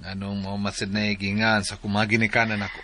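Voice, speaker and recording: A man's voice: lowish voice, level tone, fast, pushed and bright, a little hiss or hum. A man with a Cebuano Filipino accent acting a proud, blunt widowed father in a radio drama. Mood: softened, sheepish. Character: indignant, forceful widowed father confronting another parent.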